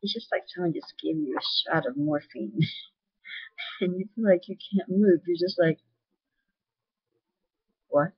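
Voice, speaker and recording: Woman vlogger, loud voice, candid and earnest, husky tone, dry muffled webcam sound. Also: lowish voice, measured pace, long pauses, dry recording. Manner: candid, earnest, sharing personal experience. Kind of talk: personal video talk.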